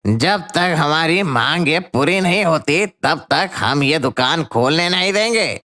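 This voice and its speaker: A man voicing a defiant striking shop worker in an animated story, firm and declamatory. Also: on the high side, slow, exaggerated voice.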